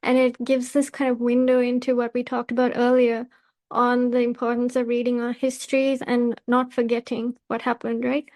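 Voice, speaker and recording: A woman hosting a literary podcast, asking prepared questions in a measured, earnest manner. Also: fairly high pitch, completely flat delivery, darkish tone, dry recording. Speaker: podcast host, interviewer.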